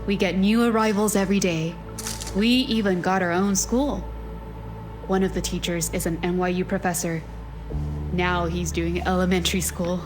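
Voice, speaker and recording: A woman's voice: on the low side, steady tone, normal speed, over music, natural voice. A woman playing an idealistic, hopeful but firm settlement leader in a video game cutscene, speaking in an earnest, articulate way. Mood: proud, welcoming.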